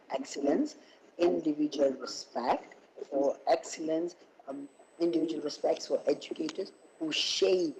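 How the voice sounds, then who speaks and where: A woman with a husky voice, hoarse from a cold, giving a halting academic keynote over an online call. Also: low voice, darkish tone, a little hiss or hum, poor audio. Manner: halting, with many fillers and restarts. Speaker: keynote speaker.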